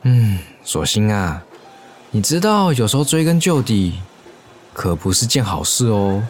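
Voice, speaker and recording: A man's voice: fairly low pitch, big pitch swings, measured pace, slight background noise. An actor playing a playful, teasing, easily flustered young male coworker in a Mandarin audio romance drama.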